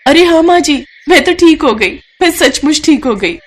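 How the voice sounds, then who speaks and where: A woman voicing a panicked, frantic young daughter-in-law in a cartoon moral story, with shrill, squawky outbursts. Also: expressive, normal speed. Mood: excited.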